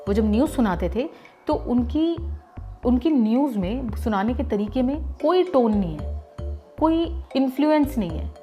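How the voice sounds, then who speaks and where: Middle-aged radio lady from delhi, gujarati native, chatty explainer, slightly high and mellow voice. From Delhi, India. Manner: conversational and explanatory, addresses the listener directly. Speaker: radio jockey teaching voice work. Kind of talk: voice-training lesson.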